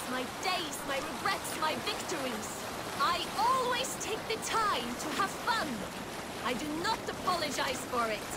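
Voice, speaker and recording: An actress playing a bold, fun-loving female Viking warrior, telling stories in a playful, theatrical manner in a video game. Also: sits high. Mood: bold, proud. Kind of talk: dramatic storytelling in a video game. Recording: loud water and boat noise. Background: stream and boat ambience.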